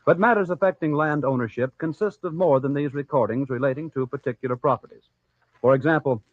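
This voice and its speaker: A man with an American accent reading a sponsor message as announcer, formal and measured, in 1940s radio style. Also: medium pitch, no room sound. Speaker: program announcer and sponsor spokesman. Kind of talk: 1940s radio scripted commercial narration. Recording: tinny, dry vintage radio recording.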